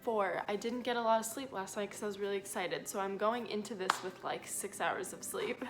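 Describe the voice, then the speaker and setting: Young female vlogger, casual and self-deprecating, lively delivery, some background music. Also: mid-range voice, fairly quick. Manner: animated, self-deprecating, swearing. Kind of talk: casual chatty reading vlog.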